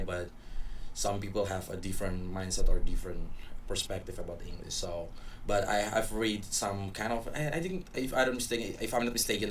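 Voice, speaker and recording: A man's voice: fairly low pitch, a bit bright. A young man from Papua with an Indonesian accent, speaking English as a second language in a casual student podcast. He talks hesitantly, searching for words. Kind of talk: casual chat. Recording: distant mic with faint noise.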